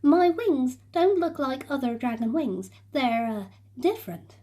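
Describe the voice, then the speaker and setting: Storybook dragon, young and timid, hushed and unsure, read by a woman. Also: sits high, expressive, a little slow. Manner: hesitant, soft and nervous.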